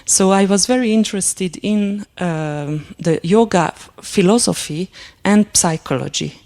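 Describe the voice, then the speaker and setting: A woman whose first language is Hungarian, giving a lecture and telling a personal story in a halting, reflective way, with many fillers and restarts. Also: mid-range voice, a little mellow. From Transylvania, Romania.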